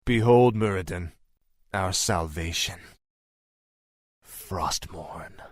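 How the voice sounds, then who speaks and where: A young human prince consumed by vengeance in a fantasy game. His voice is low and deliberate, theatrical and intense, recorded close and dry. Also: dry recording. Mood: awed. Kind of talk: fantasy video game acting.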